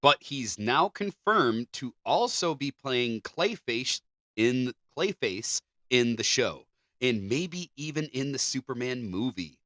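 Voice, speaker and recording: A man's voice: mid-range voice, animated, slow pace, a bit bright, dry recording, studio quality. A man co-hosting a podcast, chatting casually and reading out news items. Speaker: podcast co-host.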